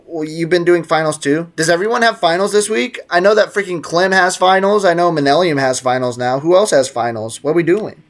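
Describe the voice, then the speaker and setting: A man livestreaming a game, chatting casually with slang and teasing his viewers. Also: fairly high pitch. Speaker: game streamer. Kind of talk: casual livestream gaming chat, reacting to game and chat.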